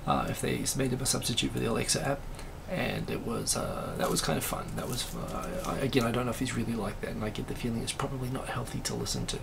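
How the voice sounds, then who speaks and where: Man, raspy and heavily gravelly, loose rambling live-stream host, steady hiss behind. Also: medium pitch, fast talker, few pauses. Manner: rambling, many fillers and asides. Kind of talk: casual live-stream chat reading comments.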